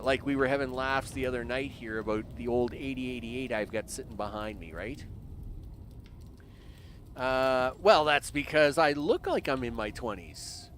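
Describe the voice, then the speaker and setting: A man's voice: mid-range voice, unhurried, a little hiss or hum. Chatty man in his fifties. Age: 50s. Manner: rambling, chatty, talks to himself and chat with lots of fillers. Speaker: live streamer. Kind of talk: casual gameplay commentary.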